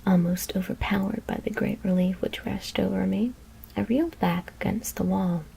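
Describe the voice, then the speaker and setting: A woman whispers a scary story in a hushed, deliberate ASMR narration. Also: medium pitch, steady tone, vocal fry, slight background noise.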